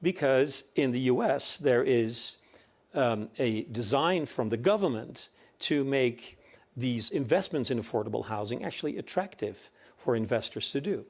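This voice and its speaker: A man's voice: medium pitch, animated, a little slow. A man from the Netherlands with a Dutch accent, speaking earnestly as a panelist. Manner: earnest, emphatic repetition. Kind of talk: conference panel discussion.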